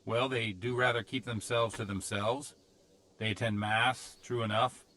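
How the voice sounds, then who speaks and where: An actor playing a cautious, protective older village priest in a video game, speaking plainly and earnestly. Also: male voice, mid-range voice, steady tone, measured pace, sharp tone. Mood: reflective. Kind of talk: video game cutscene acting. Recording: music behind the voice.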